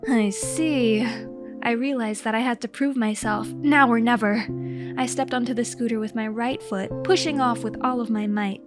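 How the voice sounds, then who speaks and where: A woman's voice: a little high, steady tone, moderate pace. A woman narrating a scripted comic diary in a theatrical, mock-serious and earnest way. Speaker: storyteller, content creator. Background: music.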